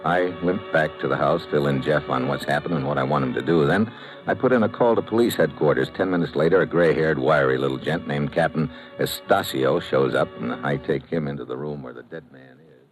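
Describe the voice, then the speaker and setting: A man's voice: extremely low pitch, brisk, faint music behind, low-quality recording. An actor playing a tough, wry American investigator who narrates his case in clipped slang, in 1950s radio style. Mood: terse narrating. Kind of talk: 1950s radio detective acting.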